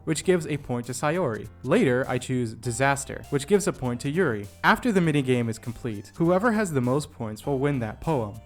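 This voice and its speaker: A young adult man narrating a scripted video essay, with a self-deprecating, jokey manner. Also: medium pitch. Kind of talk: scripted narration with comedic asides. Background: background music.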